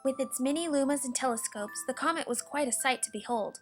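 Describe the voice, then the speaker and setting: A woman narrating a children's storybook with expressive, deliberate bedtime-story phrasing. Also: high voice. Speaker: storybook reader. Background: soft music.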